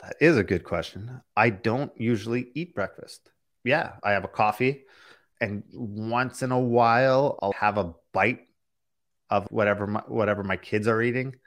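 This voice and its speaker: A man with a fairly low voice talks through listener questions on his podcast. He rambles a little and restarts sentences often, in a frank and candid way. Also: moderate pace, a bit bright. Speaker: podcast host and business coach. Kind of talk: candid conversational Q&A.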